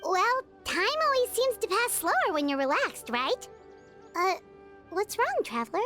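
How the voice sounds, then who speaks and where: A woman voicing a small, childlike female fairy companion in a video game, squeaky, curious and excitable. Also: unhurried, faint music behind, full character voice. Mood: pondering, uneasy. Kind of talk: video game character dialogue.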